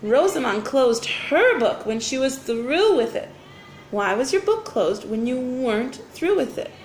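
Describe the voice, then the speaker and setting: A woman's voice: sits high, sing-song, unhurried. A woman reading the part of a self-important boy detective in a storybook, explaining with emphatic statements. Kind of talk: storybook character dialogue. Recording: roomy echo, faint background noise.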